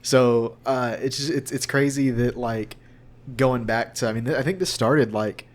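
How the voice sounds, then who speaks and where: A man's voice: medium pitch, steady tone, normal speed. A young man, a voice actor being interviewed, talking in a rambling, informal, conversational way. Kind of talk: casual interview chat.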